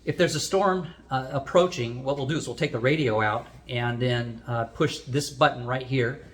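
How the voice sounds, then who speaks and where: A man's voice: medium pitch, moderate pace, a bit bright. A man hosting a product review, explaining things in a plain, unscripted way as he demonstrates.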